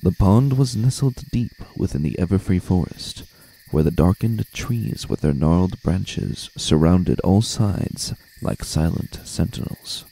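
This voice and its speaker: Dark, gravelly male story narrator. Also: low-pitched, animated, unhurried, rough recording. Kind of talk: dramatic storybook narration of fan fiction.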